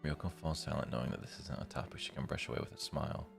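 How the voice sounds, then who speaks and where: A man's voice: very deep voice, fairly even intonation, quick pace, creaky. A man live streaming, reading a visual novel's narration aloud and breaking off to comment casually. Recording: music behind the voice. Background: guitar music from the game.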